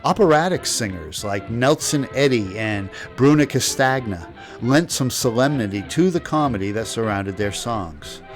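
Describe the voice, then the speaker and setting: A man's voice: medium pitch, animated, moderate pace, soft background music. A man presenting scripted documentary narration.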